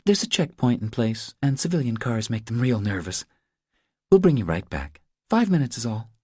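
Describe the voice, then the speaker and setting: A courteous woman agent in an audiobook, acted out by a man. The pitch is raised and lively, and the manner is official and businesslike while staying polite. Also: moderate pace, slightly rough, no room sound, clean studio sound. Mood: reassuring, brisk. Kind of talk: audiobook character dialogue.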